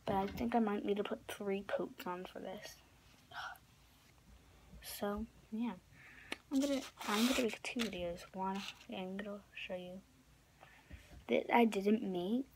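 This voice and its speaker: A young woman talks through a craft project, her voice raspy and often dropping to a whisper. She rambles, corrects herself and leans on fillers. She sits close to a phone camera in a small bedroom, and the recording has a clear hiss under it. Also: medium pitch, unhurried, pauses often, a little mellow. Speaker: craft vlogger. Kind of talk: casual craft tutorial. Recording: noisy home recording.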